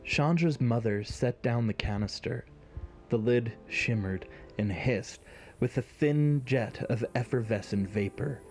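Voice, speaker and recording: A man's voice: mid-range voice, measured pace, a little mellow. Guy reading scripted story narration, mid-range voice, music in the back.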